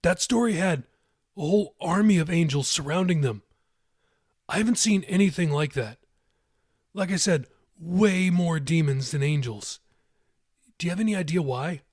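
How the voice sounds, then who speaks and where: A man's voice: fairly high pitch, normal speed, pauses often, a little mellow, slightly rough, no room sound, studio quality. A man voicing a casual, matter-of-fact young male character in an audiobook. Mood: puzzled, casual.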